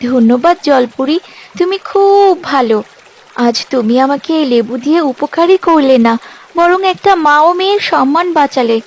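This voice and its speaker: A woman's voice: high-pitched, animated, unhurried. A woman voicing an honest, poor young village girl in a cartoon fairy tale, polite and earnest. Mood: humble, grateful. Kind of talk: animated fairy-tale character acting.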